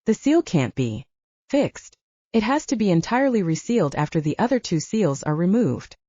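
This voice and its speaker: A woman's voice: lowish voice, very animated, normal speed, mellow, no echo, dull audio. A woman voicing a proud, stubborn young male enforcer with a curt, stern manner, in an audiobook. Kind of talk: audiobook character dialogue.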